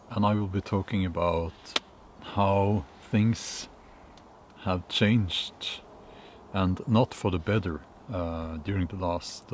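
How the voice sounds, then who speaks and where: Slow, low-voiced Norwegian man outdoors. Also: darkish tone, a little hiss or hum. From Norway. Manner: unhurried, thoughtful, with many fillers and restarts. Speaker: vlogger. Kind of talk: casual talk to camera. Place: woods outdoors.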